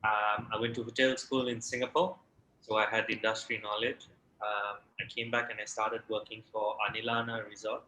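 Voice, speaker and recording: A man from Sri Lanka in his late twenties or thirties, a restaurant founder answering interview questions in a relaxed way with many fillers. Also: on the low side, normal speed, slight background noise. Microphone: video call. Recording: echoey room with faint ambience.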